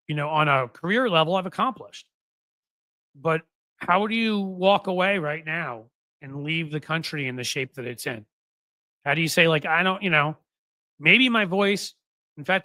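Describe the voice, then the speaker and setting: A man's voice: fairly high pitch, sharp tone, no room sound. A man in his fifties hosting a political livestream, talking casually to viewers in a rambling way with wry asides. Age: 50s. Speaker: political podcast host.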